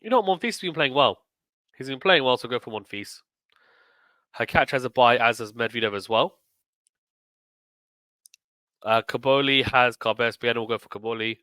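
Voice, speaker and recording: A man hosting a casual, chatty tennis preview, thinking aloud emphatically, with a slightly croaky voice as he recovers from illness. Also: mid-range voice, moderate pace, long pauses, bright, forceful tone, no room sound, rough recording. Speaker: sports YouTube host. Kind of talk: casual tournament preview commentary.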